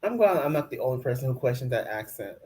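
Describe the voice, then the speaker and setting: A man co-hosting a casual recap show, chatty and quick to hedge his opinions. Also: medium pitch, very animated, darkish tone. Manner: chatty, hedging. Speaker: podcast co-host.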